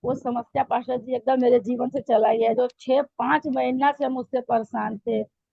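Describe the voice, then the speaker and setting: Hoarse high-voiced woman, halting testimony. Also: steady tone, no room sound, narrow, tinny audio. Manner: hesitant, many fillers and restarts. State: hoarse throat. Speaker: church member giving testimony. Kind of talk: spoken testimony to a pastor.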